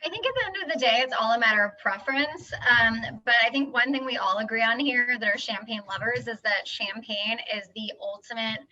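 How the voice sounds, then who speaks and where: A woman moderating a casual video-call chat in an upbeat, quick promotional way. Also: fairly high pitch, pushed and bright, slight background noise. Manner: upbeat, fast promotional talk. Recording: dull lo-fi video-call audio.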